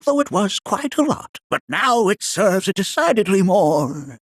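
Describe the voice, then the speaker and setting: Whimsical old scholar for a game, creaky and high-ish, wildly up and down, formal old-timey wording. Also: male voice, very animated, measured pace, no room sound, studio quality, full character voice. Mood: musing. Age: older. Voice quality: raspy, creaky. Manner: formal, old-fashioned phrasing, musing and theatrical. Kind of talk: video game character acting.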